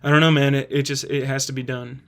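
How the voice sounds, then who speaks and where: A man with a medium-pitched voice gives a casual spoken review. He talks like a host thinking out loud, qualifying his judgments as he goes. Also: flat delivery, fast talker.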